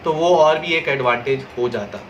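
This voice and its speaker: A man's voice: mid-range voice, moderate pace, lo-fi sound, slight background noise. A man giving a casual, step-by-step product review and how-to, dropping English phrases into his talk. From India.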